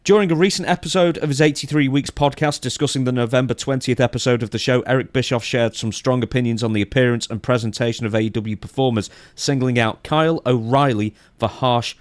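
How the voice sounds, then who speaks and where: A man's voice: mid-range voice, fast talker, few pauses, no echo. A man hosting a podcast, reading out news items and chatting about them in a wry, conversational way. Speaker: podcast host.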